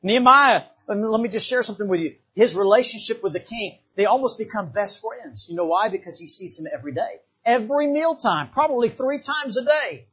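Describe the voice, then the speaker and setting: Man, from the Bahamas, church preacher, fervent, repeats words, husky voice. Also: high voice, lively intonation, a little fast, hardly any pauses, fairly bright tone, highs cut off. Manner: fervent, repetitive, calls of amen. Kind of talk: revival sermon.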